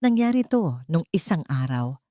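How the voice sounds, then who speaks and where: A concerned older woman confiding in her close friend , played by a female narrator in an audio story. She is soft and hesitant, with a low voice and a dry, close sound. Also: very animated, normal speed, no echo.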